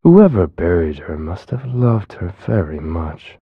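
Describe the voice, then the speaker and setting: Eerie, husky, deep-voiced graveyard keeper. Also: male voice, big pitch swings, unhurried, no echo, muffled recording, exaggerated voice. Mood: eerie, courteous. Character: eerie, courteous male cemetery keeper. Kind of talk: video game character acting.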